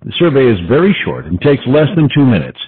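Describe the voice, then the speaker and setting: A man's voice: mid-range voice, wildly swooping pitch, fast talker, breathy edge. A man reading a formal scripted survey message over the telephone. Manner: formal, carefully enunciated announcement. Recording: narrow, tinny telephone line.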